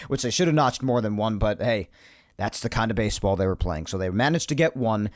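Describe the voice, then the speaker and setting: A man hosting his own sports podcast, chatty and rambling, his voice slightly hoarse from yelling earlier. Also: mid-range voice, no echo, clean studio sound. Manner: animated, chatty, rambling asides. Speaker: podcast host. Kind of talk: solo sports podcast monologue.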